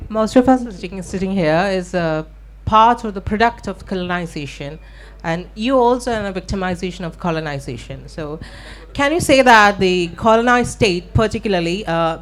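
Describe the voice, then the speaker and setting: A woman from India with an Indian accent, an audience member asking a long, earnest, halting question into a microphone at a live event. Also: medium pitch. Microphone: shared handheld event microphone.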